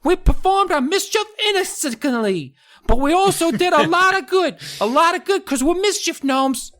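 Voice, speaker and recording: A woman's voice: very high-pitched, expressive, moderate pace, fairly bright tone, voice pushed up. A woman voicing a male mischief gnome who keeps insisting, over and over, that he means no harm. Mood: pleading.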